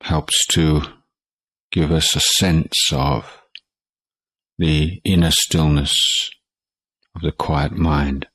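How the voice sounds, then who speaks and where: A man teaching guided meditation in a calm, measured way, leaving long pauses between short phrases. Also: a bass voice, no echo. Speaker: Buddhist monk meditation teacher.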